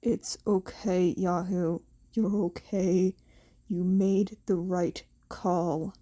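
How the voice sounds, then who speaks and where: A woman puts on a gruff but tender male hero for a story reading. The voice sits lower and muted, with a weary, muttered, reassuring delivery. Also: little pitch movement, very slow, mellow. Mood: weary, comforting.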